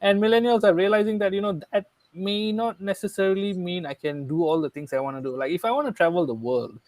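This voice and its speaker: A man explaining ideas in a relaxed podcast conversation, earnest and thorough, often checking in with "right?". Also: sits high, quick pace, no echo. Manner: earnest, explanatory, frequent "right?" tag questions. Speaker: guest speaker.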